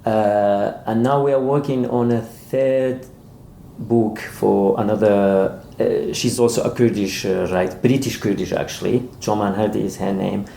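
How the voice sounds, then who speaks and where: A man being interviewed as an author, speaking haltingly and repeating words as he searches for phrasing. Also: on the low side, fairly even intonation, creaky, warm tone. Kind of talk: interview conversation. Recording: roomy echo, faint background noise.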